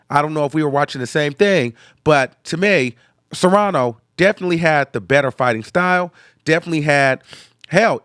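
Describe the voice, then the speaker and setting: A young man talks casually to his viewers in a chatty, animated way, often tagging his points with "okay". His voice is nasal and congested from sinus trouble. The sound is dry and close, with no room around it. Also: on the high side, no echo. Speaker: host. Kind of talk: casual reaction video chat.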